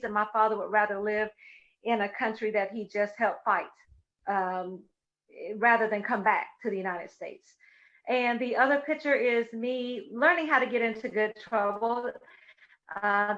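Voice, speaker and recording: Woman, middle-aged, webinar host, higher voice, measured, filler words, dull lo-fi call audio. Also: fairly bright tone. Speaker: moderator and host. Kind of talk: webinar moderation and personal remarks. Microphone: video call.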